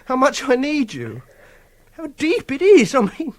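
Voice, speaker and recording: A meek, prim young Englishman in a radio drama, with a dry, close sound. He is repressed and obsessive, stammering defensively as his pitch wanders up and down. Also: huge pitch swings, measured pace, dry recording, exaggerated voice. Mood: pleading, halting. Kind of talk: BBC radio drama acting.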